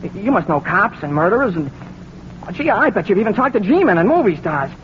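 Lovesick, excitable kid, halting and pleading, very animated, faintly noisy vintage radio sound. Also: male voice, sits high. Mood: awed, excited. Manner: gushing, halting, stammering. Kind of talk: 1940s radio drama acting. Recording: dull noisy 1940s radio recording.

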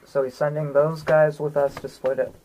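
A woman's voice: low-pitched, little pitch movement, normal speed, dark tone, low-quality recording. A woman chatting casually as a player in a tabletop game.

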